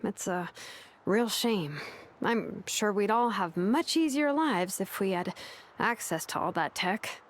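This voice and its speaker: A woman's voice: mid-range voice, animated, takes it slowly. A woman voicing a laid-back young inventor in a video game, conversational and explanatory. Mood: wistful, explaining.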